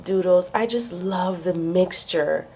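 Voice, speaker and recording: Young woman vlogger, hoarse and sniffly from a cold, chatty and giggly, low gravelly voice. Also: takes it slowly, a little mellow. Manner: chatty, laughs often. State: losing her voice, sick and sniffly. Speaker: craft vlogger. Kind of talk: casual show-and-tell.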